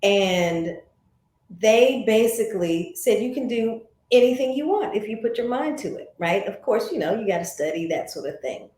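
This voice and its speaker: A woman's voice: mid-range voice, expressive, moderate pace, darkish tone. An American woman being interviewed, telling personal stories in a conversational way and voicing remembered remarks. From St. Louis, United States. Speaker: interview guest, former broadcast journalist. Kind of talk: reflective interview storytelling. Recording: echoey room.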